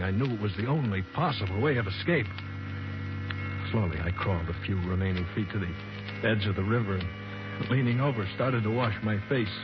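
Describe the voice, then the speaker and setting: A man's voice: on the low side, natural voice. An actor playing a shaken, wounded man who narrates his ordeal in urgent, dramatic 1940s radio style. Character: shaken, wounded grown man, intense storyteller. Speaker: first-person narrator. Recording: dull old radio recording with loud music.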